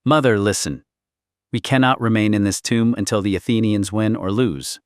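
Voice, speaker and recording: A man voicing a cold, calculating young prince in an audiobook, intense and measured. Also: on the low side, steady tone, no room sound, studio quality, close to an everyday voice. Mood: cold, urgent. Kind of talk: audiobook character dialogue.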